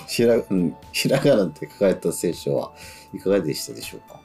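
Low, gravelly man hosting casual radio. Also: very animated, fairly quick, a little mellow. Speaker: radio show host. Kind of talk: casual radio talk, moderating a segment. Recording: music playing behind the talk. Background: background music.

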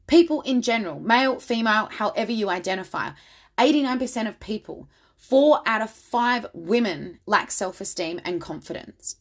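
A woman hosting her own podcast, her voice a little raspy from a lot of talking, speaking in an enthusiastic, motivational and direct way. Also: on the high side, expressive, moderate pace. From Australia. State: slightly raspy voice from much talking. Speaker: podcast host. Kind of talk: solo podcast monologue.

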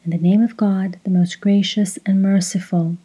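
Female meditation guide, very soft and dark tone, breathy, measured instructional delivery. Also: medium pitch, fairly even intonation. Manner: measured, instructional, pausing between short phrases. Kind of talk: slow guided meditation with recitation.

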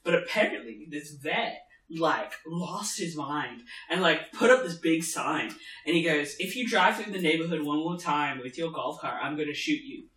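Bright-voiced, high-pitched man, echoey room. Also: moderate pace, hardly any pauses. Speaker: podcast co-host. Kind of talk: casual TV discussion chat. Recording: roomy, echoey room sound.

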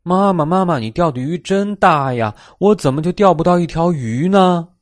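Man putting on a high, eager little kitten voice. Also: expressive, lightly acted. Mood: puzzled, whiny. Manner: pleading, repeats phrases slowly in simple English. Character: playful, impatient little kitten, eager and whiny. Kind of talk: children's storybook character voice.

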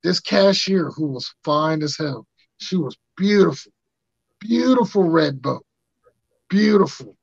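A man in his thirties with a raspy voice, hosting a podcast and telling stories in casual chat, acting out quotes. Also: fairly high pitch, unhurried, no echo. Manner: animated, acts out quotes in funny voices. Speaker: podcast host. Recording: dry muffled lo-fi sound.

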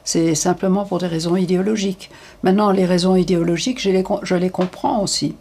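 An older woman giving frank, hesitant interview answers, with many pauses and fillers. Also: fairly low pitch, fairly even intonation, warm tone, husky.

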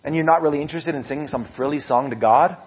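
A man with a loud voice preaching a casual, animated sermon, restarting his sentences often and poking fun at himself. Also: a little high, quick pace, slightly rough, dull audio. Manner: animated, self-deprecating, many restarts. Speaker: preacher and music leader. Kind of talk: conversational sermon.

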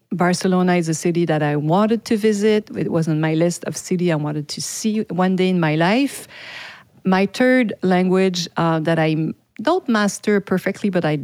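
A woman's voice: on the low side, darkish tone, no room sound. A middle-aged woman with a French accent, hosting a podcast in a chatty way, often laughing and restarting her sentences. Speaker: podcast host. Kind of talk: casual solo podcast talk.

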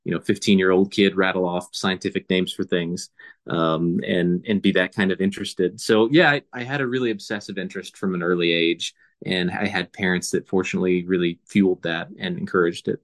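Low-voiced man musing on his past. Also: fairly even intonation, a little fast. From Indiana, USA. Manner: thoughtful, rambling with restarts. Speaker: guest biologist. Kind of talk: casual interview answers.

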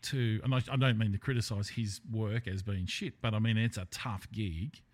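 Man, lower voice, podcast host, casual conversation, rambling and hesitant delivery. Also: fairly even intonation, moderate pace.

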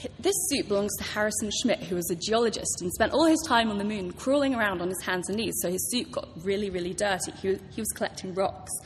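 A woman's voice: mid-range voice, animated, fast. A British woman author giving a prepared talk to a live audience, telling stories with enthusiasm. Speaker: author introducing a public reading. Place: large hall at a public library event. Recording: reverberant hall, clear background noise.

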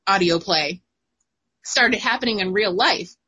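Giggly, bright-voiced woman telling stories. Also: medium pitch, measured pace, breathy edge. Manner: giggly, animated storyteller. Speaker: guest writer. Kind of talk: casual chat.

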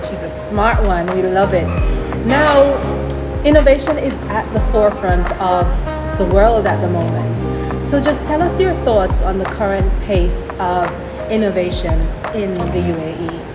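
An enthusiastic woman hosts an interview segment, chatting easily between questions. Her voice sits at a middle pitch. Loud music plays beneath her. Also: lively intonation, measured pace. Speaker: magazine show host. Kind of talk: upbeat interview hosting.

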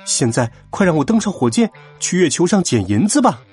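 A pushy young fellow from a children's storybook, acted out by a man, always protesting loudly. The voice is pushed high and swings wildly, with a husky edge. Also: very animated, fairly quick, faint music behind. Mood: desperate, insistent. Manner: loud, shrill protesting.

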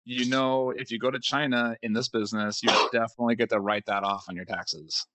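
A man hosting a podcast interview in casual chat, upbeat and quick to laugh, recording from a hotel room. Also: medium pitch, level tone, sharp tone, no echo. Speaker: podcast host.

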